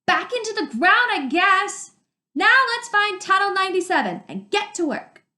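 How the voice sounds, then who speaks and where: A woman reading a storybook aloud, voicing a female tunnel worker who shouts brisk, drawn-out instructions. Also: very high-pitched, very animated, pitched above the performer's own voice, full character voice. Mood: loud, brisk. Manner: loud, drawn-out shouting over noise. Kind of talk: storybook read-aloud character voice.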